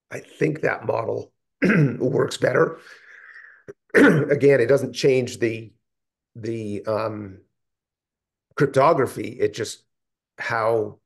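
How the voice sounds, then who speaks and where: A man presenting in a technical working group call, explaining in a halting, thinking-aloud way. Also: fairly low pitch, vocal fry. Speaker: meeting presenter. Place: indoor room, video call.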